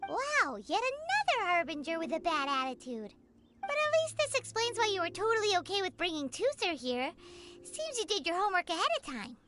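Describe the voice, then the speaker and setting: A woman's voice: very high voice, very animated. A woman voicing a tiny, chatty, sassy floating companion in a game cutscene, bubbly and blunt. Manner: bubbly, blunt, quick to complain. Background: game music.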